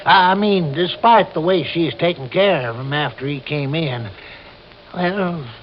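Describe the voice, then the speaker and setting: A man acting as an anxious, excitable rural sidekick in a 1950s radio drama, folksy and plain-spoken. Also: a little high, unhurried, lightly acted. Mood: hesitant, agreeing. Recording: muffled, noisy old radio recording.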